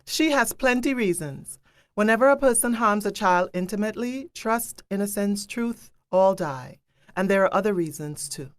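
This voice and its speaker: A woman playing a grave, sorrowful narrator in a staged drama, speaking in a deliberate, pointed way. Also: medium pitch, animated, dry recording, close to an everyday voice. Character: grave, sorrowful all-knowing female narrator of abuse drama.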